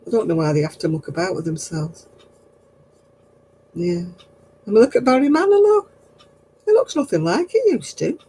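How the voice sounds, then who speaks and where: Older woman, low husky voice, mellow dark tone, rambling gossipy chat. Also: sing-song, moderate pace, long pauses, poor audio. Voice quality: low, husky voice after throat surgery. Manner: rambling, chatty, gossipy with little laughs. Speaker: craft livestream host. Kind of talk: casual chat answering viewer comments.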